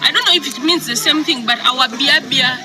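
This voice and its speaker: A woman's voice: sits high, fairly quick, a crowd in the background, noisy background. A woman from Sudan, chatting casually to her followers in a vlog. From South Sudan.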